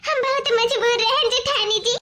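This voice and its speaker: A tiny, extremely high-pitched cartoon daughter-in-law from the village. She laments in an eastern Uttar Pradesh accent, plaintive and wailing. Her delivery is melodramatic and aggrieved, circling back over the same complaints. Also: fairly even intonation, normal speed, noisy background. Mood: pleading, remorseful. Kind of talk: melodramatic comedy cartoon dialogue.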